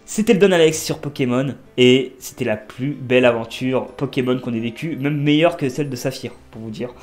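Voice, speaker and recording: A man with a blocked nose doing casual, excitable let's play commentary as a gaming creator. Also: brisk. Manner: excitable, pitch jumping up on exclamations.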